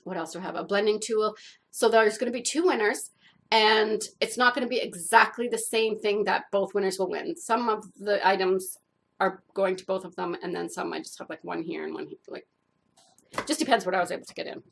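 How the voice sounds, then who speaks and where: A woman's voice: mid-range voice, steady tone, brisk, creaky, fairly bright tone. A woman hosting an art channel, talking casually to the camera with lots of fillers, stuffed up from a cold. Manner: chatty, rambling with many fillers.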